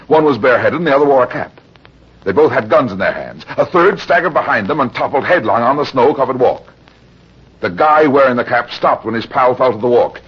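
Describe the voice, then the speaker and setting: A muffled vintage radio recording carries a tough American private eye. The voice is husky and sweeps widely in pitch, yet stays terse and matter-of-fact, in pulp noir style. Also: male voice. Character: hard-boiled, unsentimental male private detective in pulp radio drama. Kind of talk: old-time radio noir acting and narration.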